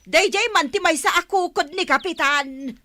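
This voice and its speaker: A woman's voice: extremely high pitch, moderate pace, bright, forceful tone. A woman with an Ilocano accent playing a fretful, nagging village woman in an audio drama. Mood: alarmed.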